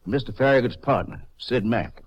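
An actor playing a polite, formal, businesslike insurance investigator in a 1950s radio drama. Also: male voice, mid-range voice, moderate pace, husky, natural voice. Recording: dull, lo-fi old radio recording.

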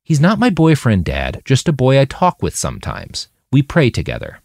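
A guarded, devout teenage daughter in a novel, read by a male narrator. The pitch is raised and lively. She sounds placating, controlled and outwardly dutiful. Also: moderate pace, vocal fry, dry recording, clean studio sound, exaggerated voice. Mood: defensive.

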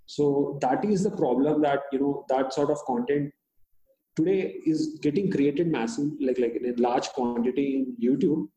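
A man with an Indian accent, whose first language is Hindi, speaking as a guest in an interview. He talks haltingly, with many restarts and fillers. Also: medium pitch, warm tone, roomy sound, low-quality recording. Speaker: startup founder, guest. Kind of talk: interview conversation.

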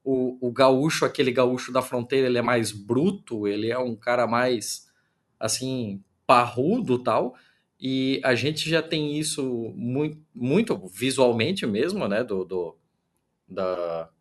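A man, hosting a casual chat in a relaxed way and asking his guest questions. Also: mid-range voice. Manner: relaxed, asks questions, laughs along. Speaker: podcast host. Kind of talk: casual conversation.

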